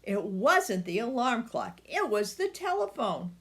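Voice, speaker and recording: An older woman reads a children's picture book aloud. Her voice sits a little high and moves up and down with plenty of life. She takes her time and talks straight to her young listeners. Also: unhurried. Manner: animated, addressing young listeners directly. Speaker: storyteller reading to children.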